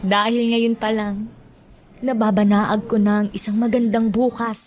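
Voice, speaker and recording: Radio drama: actress as caring but admonishing Filipino aunt, measured delivery, mid pitch, muffled recording, faint noise. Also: female voice, little pitch movement, natural voice. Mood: earnest advice. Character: kindly, moralizing aunt advising her young niece. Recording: dull lo-fi sound, faint noise.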